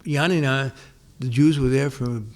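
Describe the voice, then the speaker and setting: An older man talks through old memories in an unhurried way. He keeps circling back with restarts and fillers, and his voice sits in a mid-range pitch. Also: fairly even intonation. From New York, United States. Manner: rambling, many restarts and pauses. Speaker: interviewee. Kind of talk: casual oral-history interview.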